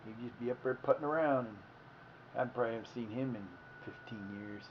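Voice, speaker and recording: Fifties Ohio man, livestream host, chatty and wandering, mid-pitched voice on dull lo-fi audio. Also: a little fast, slight background noise. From Ohio, United States. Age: 50s. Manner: meandering, self-correcting, folksy. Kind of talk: rambling casual storytelling.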